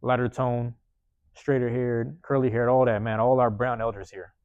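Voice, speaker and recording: A man hosting a podcast from a university room, giving a loose, slangy motivational monologue with lots of repetition, his voice getting a bit hoarse. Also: medium pitch, level tone, normal speed, no echo, phone-quality sound. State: voice going hoarse. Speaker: podcast host.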